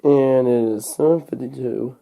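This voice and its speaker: A young man recovering from a cold, narrating a casual unboxing in a halting, unscripted way, thinking aloud as he goes. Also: medium pitch, gravelly, noisy background. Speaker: hobbyist vlogger. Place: indoors at home.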